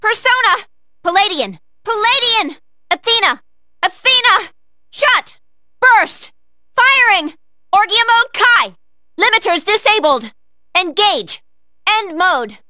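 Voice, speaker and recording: A woman's voice: very high-pitched, lively intonation, slow pace, pauses often, bright, forceful tone, dry recording, clean studio sound, full character voice. A woman playing an earnest, polite young female android fighter in a video game, calling out clipped, formal battle commands. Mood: battle-focused, then resolute. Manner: clipped, formal machine-like status callouts.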